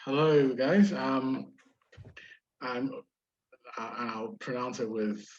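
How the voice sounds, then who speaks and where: Husky Nigerian man, dull lo-fi audio. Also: mid-range voice, slow pace. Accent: Nigerian with British influence. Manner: hesitant, many fillers and restarts. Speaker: venture capital investor. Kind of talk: webinar interview. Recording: dull lo-fi sound.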